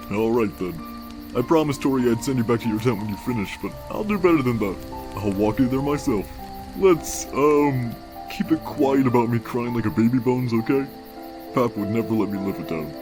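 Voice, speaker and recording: A man voicing a weary, wisecracking skeleton big brother in a comic dub, casual and halting, with a tender, secretly anguished streak. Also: mid-range voice, natural voice. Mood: tired, wry. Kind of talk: comic dub character acting. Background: background music.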